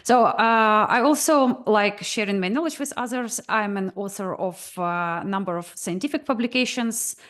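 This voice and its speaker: A woman's voice: medium pitch, moderate pace, hardly any pauses, fairly bright tone. Hesitant woman presenting. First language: Ukrainian. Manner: hesitant, many "uh" fillers. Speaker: guest presenter. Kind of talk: online presentation. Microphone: video call.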